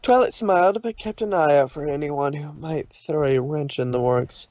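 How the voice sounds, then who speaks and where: A man's voice: a little high, normal speed, a little mellow, no room sound, lo-fi sound. A man narrating a fan fiction story aloud in an informal read-through.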